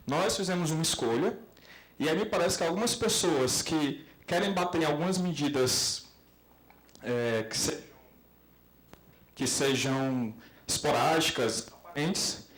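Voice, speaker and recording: A man putting a question at an academic discussion, reflective and pausing mid-sentence as he builds his argument. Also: on the high side, poor audio. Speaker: participant asking a question at an academic panel.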